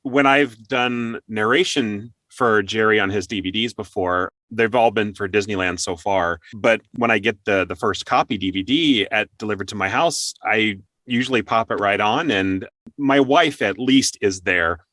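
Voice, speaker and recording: Guy interviewer, bright punchy voice, chatty casual, real dry recording. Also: lowish voice, normal speed, no room sound. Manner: chatty, laughs often. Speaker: interview host. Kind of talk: conversational interview.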